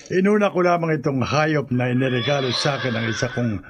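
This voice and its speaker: Gravelly older landowner, acted by a man, Filipino accent, stubborn and commanding, radio drama, noisy horse-effects track. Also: mid-range voice, fairly quick, lightly acted. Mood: firm. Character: stubborn, commanding older hacienda owner, a grandfather.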